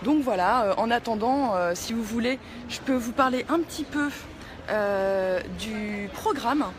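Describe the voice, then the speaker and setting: A woman hosts a live stream, her voice high. She chats casually and informally. She is outdoors on a city street, with clear traffic noise behind her. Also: unhurried. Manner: chatty, informal.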